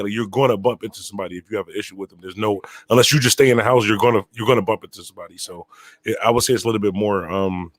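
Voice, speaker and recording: A young man rambles through casual interview answers, leaning on "like" a lot. He talks fast, and his voice sounds dry and close, with no room around it. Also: medium pitch, no echo. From Buffalo, New York. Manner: loose, rambling, heavy use of "like". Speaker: DJ guest.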